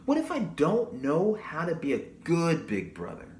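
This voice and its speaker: Man voicing an earnest little storybook boy, high-pitched. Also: huge pitch swings, unhurried, a little mellow. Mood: whispered worry. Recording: roomy echo, audible background noise.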